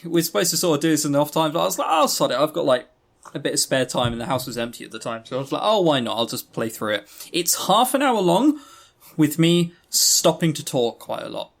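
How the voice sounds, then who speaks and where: A man's voice: a little high, expressive, a little fast, gravelly. A man co-hosting a podcast, chatting and reviewing games in a rambling, opinionated way with casual swearing. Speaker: podcast co-host. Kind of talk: casual chat, game review.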